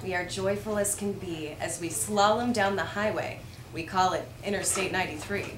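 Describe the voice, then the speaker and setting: A woman's voice: medium pitch, normal speed, fairly bright tone. A woman reading light, humorous verse aloud to an audience as one of the readers at a live event. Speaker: reader at a holiday readers theater. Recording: echoey room with clear background noise.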